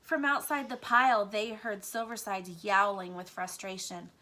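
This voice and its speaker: Bright-voiced woman teacher reading aloud. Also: mid-range voice, fairly even intonation, moderate pace. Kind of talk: children's book read-aloud.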